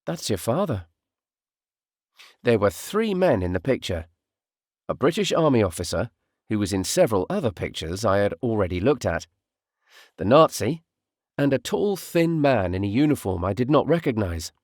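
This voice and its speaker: A man reading a first-person audiobook narration in a measured storytelling way, in short, deliberate phrases. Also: on the low side, very animated, a little mellow, dry recording, studio quality.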